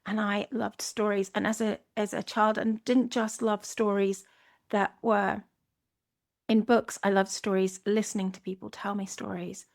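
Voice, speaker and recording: Gravelly-voiced Scottish woman hosting a podcast. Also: mid-range voice, flat delivery, unhurried. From Scotland. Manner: earnest, reflective, with frequent restarts. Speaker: podcast host. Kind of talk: solo podcast monologue.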